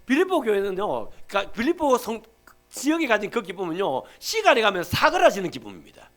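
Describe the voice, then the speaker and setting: Male pastor, Gyeongsang accent, emphatic sermon, very expressive pitch, pulpit mic. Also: brisk. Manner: emphatic, swings from loud to hushed. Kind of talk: Sunday sermon.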